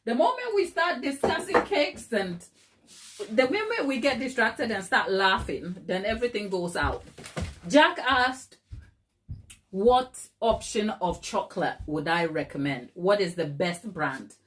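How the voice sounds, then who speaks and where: A woman's voice: mid-range voice, animated, a little slow, a bit bright. A middle-aged woman from Ghana hosts a baking live stream. She is chatty and laughs easily, turning to her viewers often as she talks. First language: Twi. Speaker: cake decorating live-stream host. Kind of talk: casual live-stream chat and tutorial.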